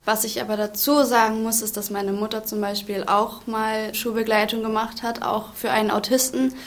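A woman's voice: a little high, little pitch movement, moderate pace. A nineteen-year-old woman chatting candidly about her experience. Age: young adult. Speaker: school companion.

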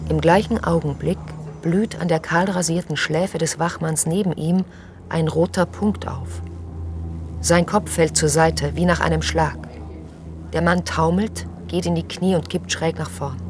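A woman's voice: low voice, fairly even intonation, a little slow, background noise. An actress playing a sober woman narrating a crime radio drama in a terse, matter-of-fact way. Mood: sober, tense. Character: sober female narrator of a violent crime scene. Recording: music behind the voice.

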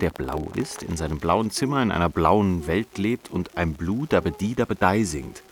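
An actor playing a bipolar man who recounts his breakdowns in a radio play, bitter and self-lacerating, with emphatic literary delivery. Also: deep voice. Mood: sardonic. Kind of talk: scripted radio play narration.

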